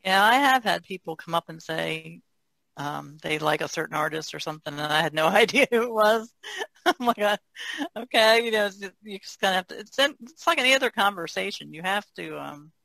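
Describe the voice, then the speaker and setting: A woman's voice: mid-range voice, expressive, a little fast, vocal fry, fairly bright tone, no room sound. A woman artist chatting casually on a podcast, informal and hedging as she goes. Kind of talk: casual chat.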